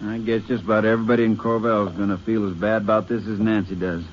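A man's voice: fairly low pitch, little pitch movement, fast talker, noisy background, close to an everyday voice. A man playing a folksy sheriff. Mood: somber. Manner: folksy, gentle. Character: kindly folksy male country sheriff, middle-aged. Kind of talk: 1950s radio drama acting. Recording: dull lo-fi old radio recording.

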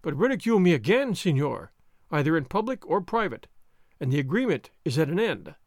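Young male aristocrat, acted by a man reading, thin and high, polite yet haughty, for an adventure storybook. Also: very animated, a little fast, dry recording, studio quality. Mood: haughty warning. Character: languid, proud young gentleman aristocrat, aged twenty-four.

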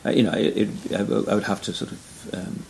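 A man in his seventies with a Welsh accent, a retired pastor reflecting thoughtfully on his ministry in a measured interview conversation. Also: fairly low pitch, little pitch movement, gravelly, a little hiss or hum. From Wales. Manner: measured, thoughtful, trailing off at times.

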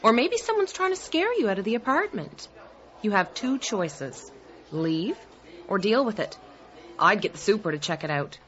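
Wry, animated skeptic. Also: female voice, mid-range voice, moderate pace, faint music behind. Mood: skeptical. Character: skeptical, logical, wry woman friend. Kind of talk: audio drama acting. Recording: dull lo-fi audio.

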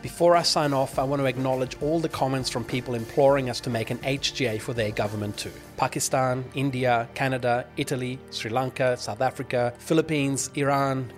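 Man, podcast host, wry and measured, mid-pitched, light music behind. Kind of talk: interview and scripted sign-off.

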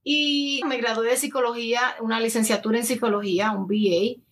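A woman's voice: fairly high pitch, steady tone, fairly quick. Chatty young Dominican woman. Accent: Dominican Spanish. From Dominican Republic. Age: early 30s. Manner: talkative, anecdotal, slips in English words. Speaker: interview guest, influencer and addiction counselor. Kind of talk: casual conversational interview answers.

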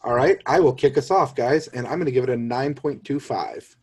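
Guy cohosting a podcast, normal pitch, casual chat, bit wry. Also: fairly quick, a little mellow, breathy edge. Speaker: podcast co-host.

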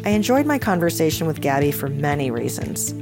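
A woman with a lowish voice hosts a podcast interview, moving from a read intro into eager, upbeat questions. Soft music sits faintly underneath. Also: big pitch swings, fairly quick. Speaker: podcast host.

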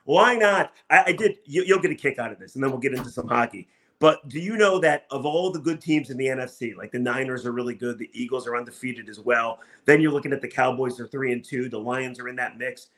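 A man's voice: mid-range voice, fast talker, fairly bright tone. A man hosting a sports podcast, talking and asking questions in an enthusiastic, emphatic way. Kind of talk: upbeat sports talk and interviewing.

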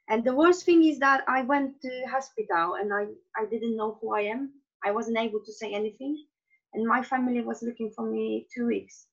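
Earnest Polish-accented woman in her thirties, podcast guest, middle pitch, muffled lo-fi sound. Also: fairly bright tone. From Poland. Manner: earnest, with frequent pauses and restarts. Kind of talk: personal storytelling in an interview.

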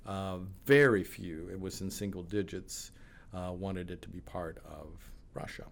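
A man giving measured, considered answers in a panel interview, with frequent hesitations. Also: low voice, creaky. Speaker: pollster.

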